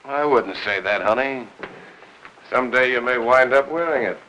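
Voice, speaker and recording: A man's voice: mid-range voice, moderate pace, sharp tone, slight background noise, close to an everyday voice, rough recording. An American actor in his thirties playing a cocky, flirtatious, wisecracking military pilot in a 1950s Hollywood film. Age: 30s. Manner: wisecracking, smooth-talking.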